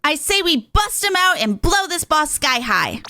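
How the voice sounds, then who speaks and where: A woman voicing a brash, peppy young female rapper drone from a video game, in a punchy, slangy, excitable manner. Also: high voice, measured pace, no room sound, exaggerated voice. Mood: excited.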